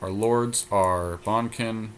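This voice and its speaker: A man's voice: fairly low pitch, steady tone, slow, crowd noise. A man co-hosting a casual podcast chat about a card game, thinking aloud as he goes. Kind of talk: casual back-and-forth chat while building a card deck.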